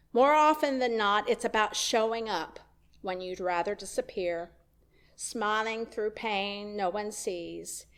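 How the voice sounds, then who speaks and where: A woman minister giving a reflective sermon in a church, speaking in a slow, measured way. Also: medium pitch, vocal fry. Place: church sanctuary.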